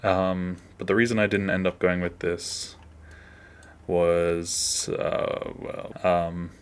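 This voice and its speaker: A man's voice: low voice, flat delivery, takes it slowly, smooth. A man hosting a casual behind-the-scenes animation commentary in an informal way.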